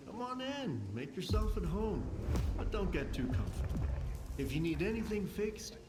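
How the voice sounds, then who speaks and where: A man's voice: high-pitched, sing-song, moderate pace, reverberant, over music. An actor playing a wry, chatty male technician in a post-apocalyptic video game. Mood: wry, welcoming.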